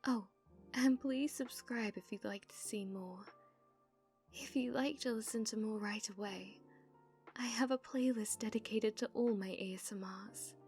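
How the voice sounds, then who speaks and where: A British woman speaking softly and breathily as an ASMR performer addressing listeners. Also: on the high side, measured pace, faint music behind. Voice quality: soft, breathy. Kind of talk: soft-spoken ASMR sign-off.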